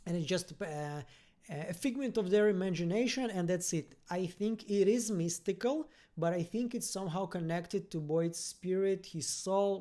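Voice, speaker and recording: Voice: mid-range voice, dark tone. A livestream host from Ukraine and Israel, chatting casually and reading out comments in a friendly, rambling way, often searching for words. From born in Russian-speaking Ukraine, raised from age ten in Israel. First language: Russian. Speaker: host of a fan review livestream. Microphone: computer-connected microphone on a livestream.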